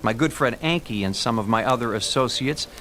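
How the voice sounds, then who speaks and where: An actor playing a calm, wry expedition leader who hunts UFO evidence, with measured, explanatory delivery in a radio drama. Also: male voice, mid-range voice, slight background noise, natural voice. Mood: welcoming, measured. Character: calm, wry male UFO investigator leading field expeditions. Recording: loud music under the voice.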